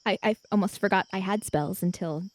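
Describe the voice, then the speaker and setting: A woman playing a tabletop roleplaying game, chatting and improvising in a playful, halting way. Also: medium pitch. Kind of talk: casual improvised roleplay chat.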